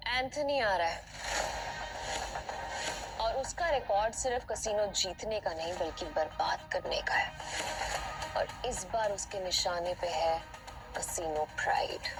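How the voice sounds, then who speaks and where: A woman's voice: mid-range voice, lightly acted. An actress playing a commanding casino owner who is protective of her staff, in an audio drama. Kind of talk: Hindi audio drama acting. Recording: music behind the voice.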